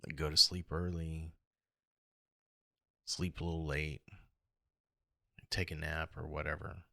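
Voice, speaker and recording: A middle-aged American man with a hoarse, gravelly voice, talking alone in a reflective, halting and rambling way as a casual podcast host. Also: extremely low pitch, flat delivery, pushed and bright, no echo, studio quality. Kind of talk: casual solo podcast monologue.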